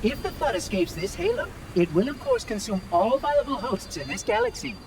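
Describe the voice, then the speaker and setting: An actor voicing a prim, fussy ancient machine caretaker in a video game cutscene, speaking in a polite, precise and formal way. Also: male voice, very high-pitched, wildly swooping pitch, normal speed, background noise, exaggerated voice. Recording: distant voice over loud music.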